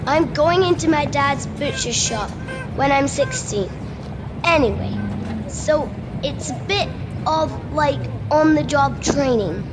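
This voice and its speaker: Radio play: eager, boastful Scottish schoolboy dreamer, played by a woman. High voice, leisurely delivery, noticeable background noise. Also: lively intonation, slow pace, exaggerated voice. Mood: cheeky, casual. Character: cheeky, daydreaming schoolboy, butcher's son under sixteen. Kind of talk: amateur radio play acting. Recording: noisy remote home recording.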